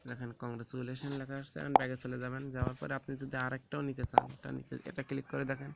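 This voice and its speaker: A man gives an informal, halting step-by-step phone app tutorial, often repeating himself. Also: medium pitch, completely flat delivery, creaky. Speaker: tech tips tutorial host. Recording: very noisy, rooster crowing and clicks behind.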